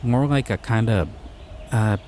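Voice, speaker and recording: Guy doing a bashful slime dude, young, earnest and flustered, talks slow. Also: medium pitch, warm tone, a little hiss or hum, lightly acted. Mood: curious. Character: shy, excitable young male slime creature. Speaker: voice actor. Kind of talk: audio roleplay.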